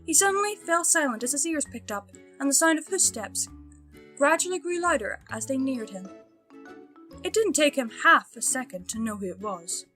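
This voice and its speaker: A woman's voice: high voice, animated, moderate pace, bright, forceful tone, faint music behind. A woman reading a fan fiction story aloud as its narrator, in scripted storytelling style.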